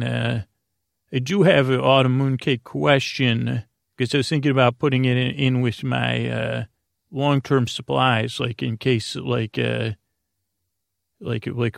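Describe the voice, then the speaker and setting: A man with a creaky voice hosting a bedtime podcast, meandering informally and hesitantly through sidetracks and half-finished words. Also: mid-range voice, no echo, studio quality. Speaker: podcast host.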